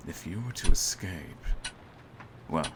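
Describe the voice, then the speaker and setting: Guy doing a cold smug assassin for asmr roleplay, super low voice, slow n menacing, noisy recording. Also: expressive. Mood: cold, composed menace. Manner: theatrical, menacing, deliberate phrasing. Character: cold, smug male hitman holding his target at gunpoint. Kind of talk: scripted ASMR audio roleplay.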